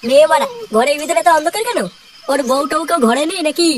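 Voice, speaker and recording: Bossy cartoon gang boss guy, high voice, talks fast n sweary. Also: expressive, crowd noise. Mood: exasperated. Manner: bossy, slangy scolding. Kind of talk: comedy cartoon dialogue.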